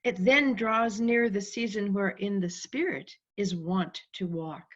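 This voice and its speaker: A woman's voice: medium pitch, measured pace, fairly bright tone. A woman reading the part of a prince's loyal, watchful friend in a Shakespeare play over a video call. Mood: watchful, curious. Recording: slightly dull video-call audio.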